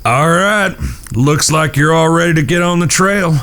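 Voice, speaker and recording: A man's voice: a little high, moderate pace, a bit bright, lightly acted. Guy acting a serious protective cowboy, earnest, audio drama. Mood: resigned, gentle. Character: grave, protective cowboy outlaw, eldest-brother type.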